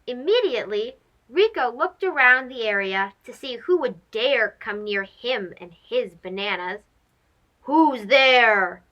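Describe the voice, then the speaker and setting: A woman's voice: fairly high pitch, a little slow, lo-fi sound. A woman hosting a children's read-aloud, narrating a storybook expressively and speaking directly to young listeners. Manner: animated, addressing young children.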